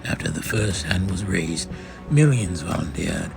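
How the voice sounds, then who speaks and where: A man's voice: deep voice, animated, a little slow, vocal fry. A man giving a solemn, theatrical first-person narration of a space saga, as in a dramatic comic-book reading. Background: music.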